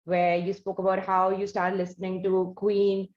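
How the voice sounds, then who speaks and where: A woman with an Indian accent hosting an interview, asking long, halting questions that she keeps rephrasing in a conversational way. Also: mid-range voice, completely flat delivery, darkish tone. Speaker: interviewer, show host. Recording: roomy echo, slightly dull audio.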